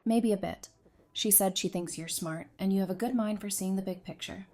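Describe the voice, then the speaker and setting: A woman's voice: mid-range voice, moderate pace, dark tone, natural voice. An actress playing a resilient woman survivor in an audio drama, with a mid-range voice. She talks frankly and has a teasing streak. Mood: candid. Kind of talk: fan audio drama dialogue.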